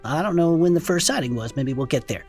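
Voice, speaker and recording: A folksy, questioning investigator in an audio drama. The voice sits a little low but moves around in lively swings, wry and skeptical, over a music bed. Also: female voice, fast, slightly rough. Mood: puzzled reporting. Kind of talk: conversational audio fiction.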